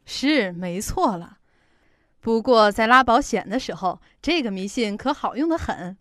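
A woman's voice: on the high side, expressive, unhurried, a bit bright, dry recording, clean studio sound. An actress in an audio drama playing a chatty, fretful middle-aged mother who sells insurance. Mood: chatty, matter-of-fact. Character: fussy, protective middle-aged working mother, insurance saleswoman.

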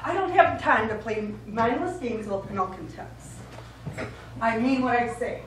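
Actress as stubborn, emphatic wife in a stage play. High voice, distant mic. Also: female voice, animated, unhurried, very echoey, a little hiss or hum. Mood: irritated, insistent. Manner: emphatic, wordy. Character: stubborn, earnest, argumentative wife. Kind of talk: live stage play acting. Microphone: far camera.

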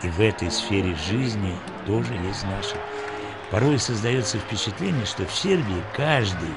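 A man reading scripted documentary narration in a measured, formal way. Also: lowish voice, lively intonation. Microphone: studio voice-over. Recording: voice-over with music underneath.

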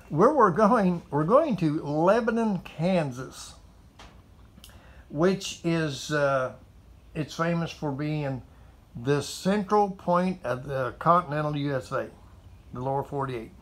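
A man's voice: a little high, takes it slowly. Folksy older man rambling in a garage. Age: 70s. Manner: folksy, meandering, repeats himself with fillers. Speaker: motorcycle vlogger. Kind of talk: rambling casual monologue to camera. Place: metal carport garage, indoors.

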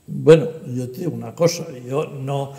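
A man's voice: medium pitch, moderate pace, warm tone. A man in his seventies speaking emphatically and expressively as a guest in an interview conversation. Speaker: podcast guest, translator and editor. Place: indoor book-lined room. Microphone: lapel. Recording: echoey room with long reverb.

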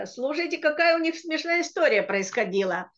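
Bright, fairly high-pitched middle-aged woman, patient teacher sounding words out slowly, speaking over a dull, lo-fi video call line. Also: expressive. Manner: patient, slow and deliberate when sounding out words. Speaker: Hebrew reading teacher. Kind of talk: online language lesson. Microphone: computer mic on a video call.

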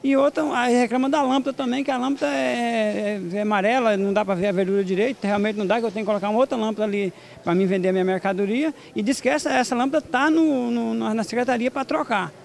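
Middle-aged Brazilian male vendor, very high gravelly voice, colloquial interview delivery in an open market hall. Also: steady tone, fast talker. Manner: colloquial, repetitive phrasing. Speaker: market vendor and produce grower. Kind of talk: street interview, informal complaint. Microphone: handheld reporter microphone.

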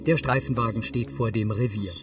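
A man's voice: mid-range voice, steady tone, fairly quick, over loud music. A man reading scripted descriptive narration for a television drama.

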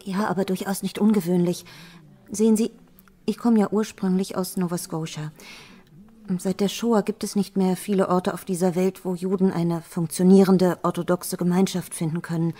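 An actress playing the composed widow of a rabbi, speaking German with a slight foreign accent in calm, measured explanations. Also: female voice, fairly low pitch, lightly acted. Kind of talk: audio drama acting.

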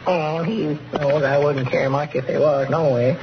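Over a noisy, muffled 1930s radio recording, a folksy country storekeeper who shirks work. The voice is fretful, a bit creaky and rural Southern, in broad comedy style. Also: male voice, on the high side, fairly even intonation, unhurried.

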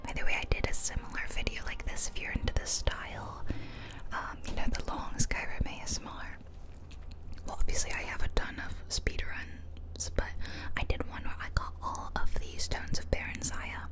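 A woman whispering chatty, playful ASMR commentary over a video game, close to the microphone. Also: normal speed. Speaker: host of an ASMR gaming channel. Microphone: close ear-to-ear ASMR microphone.

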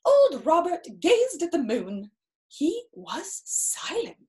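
High, very animated woman reading a storybook. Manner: theatrical, expressive, drawn-out emphasis with exclamations. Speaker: storyteller reading a picture book. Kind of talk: children's story time read-aloud with chatty asides. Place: small room indoors, seated in an armchair.

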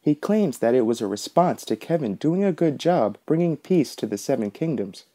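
Man, podcast host, mellow dark tone, medium pitch, scripted explanatory narration. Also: expressive, moderate pace.

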